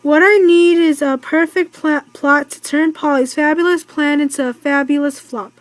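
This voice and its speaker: A woman reading the part of a vain, scheming mean girl in a children's storybook, plotting in a halting way. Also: sits high, steady tone, no echo, lightly acted. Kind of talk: children's storybook read-aloud.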